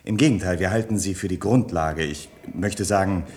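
An actor playing an anxious, deferential research assistant in a vintage radio drama, hesitant and wordy when explaining. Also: male voice, low-pitched, normal speed, darkish tone, close to an everyday voice.

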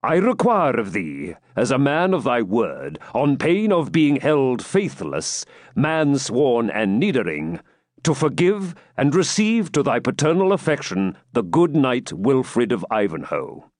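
A man voicing a confident medieval king for a storybook, with measured, formal, archaic speech. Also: medium pitch, wildly swooping pitch, no room sound, studio quality. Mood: solemn, commanding.

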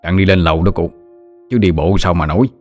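Storybook nephew played by a male narrator: Southern Vietnamese, respectful, patient, low register, soft music underneath. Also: a little fast. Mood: amused, patient. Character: easygoing younger man patiently explaining things to elder. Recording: music behind the voice.

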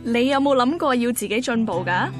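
A woman's voice: fairly high pitch, brisk, close to an everyday voice. An actress playing a caring, demanding yet tender young girlfriend in a radio drama, speaking Hong Kong Cantonese. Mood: challenging. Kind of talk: radio drama acting and first-person narration. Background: music.

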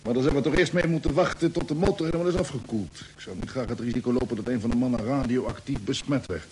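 A male actor as a space fleet commander in a radio drama, concerned yet decisive. He speaks at a middling pitch, with authority and many probing questions. Faint hiss sits on the old recording. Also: fast talker, lightly acted. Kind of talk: Dutch radio play acting.

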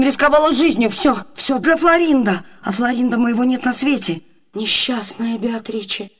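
An actress playing a passionate young woman disguised as a gentleman, commanding and theatrically emotional, in a radio play. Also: high voice, lightly acted. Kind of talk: Soviet radio theatre acting.

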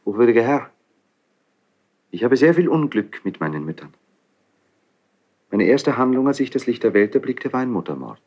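An actor playing an anguished, bitter young crown prince in a classical German radio drama, theatrical and impassioned, with a husky, breathy voice. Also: male voice, mid-range voice, sing-song, normal speed, pauses often, highs cut off. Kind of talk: 1970s German radio play, classical stage acting.

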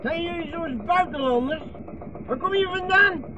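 Hostile tractor driver, very high strained pitch, curt. Old radio play, engine noise. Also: male voice, normal speed. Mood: hostile, suspicious. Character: hostile, suspicious communist tractor driver, barking threats. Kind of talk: 1960s radio play acting.

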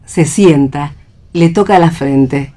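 A woman's voice: low-pitched, slow pace, dark tone. A woman with an Argentine accent reading stage directions as a scripted narrator.

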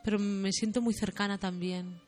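A woman singer being interviewed on the radio, talking thoughtfully and softly about her music, often pausing to search for words. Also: medium pitch, steady tone.

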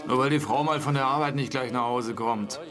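An actor playing a detective's calm, teasing best friend in a radio crime play, colloquial and soothing. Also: male voice, mid-range voice, fairly quick, close to an everyday voice. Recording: music behind the voice.

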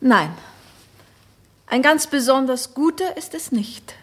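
An actress playing a troubled, guarded woman in a German radio drama, measured and cryptic, with some hesitation. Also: high-pitched, lively intonation, heavily acted. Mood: guarded, uneasy. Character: troubled, guarded woman visiting an old friend.

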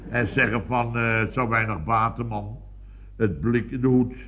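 A man's voice: medium pitch, fairly even intonation, normal speed, a little hiss or hum, lightly acted. Man playing a blustering boss, dull old recording. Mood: animated storytelling. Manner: rambling, repetitive, exclamatory storytelling with tag questions. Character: blustering, boastful male building contractor boss. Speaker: actor in a radio comedy serial. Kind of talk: 1970s radio comedy acting.

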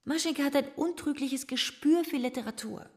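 An actress playing an embittered, disapproving wife and mother in a German radio drama, sharp-tongued and dismissive. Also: high voice, brisk, lightly acted. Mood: reproachful.

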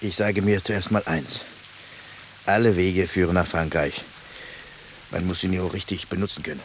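A man's voice: deep voice, fairly even intonation, lightly acted. An actor playing a weary fugitive soldier in a radio play, grumbling and needling as he stumbles and repeats his words. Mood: wry. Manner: halting, stammering, repeating words. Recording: noisy radio play scene, rain falling.

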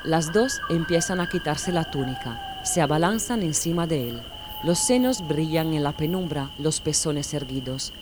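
Woman, audiobook narrator, calm, measured, somewhat low voice, background noise. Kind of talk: audiobook reading.

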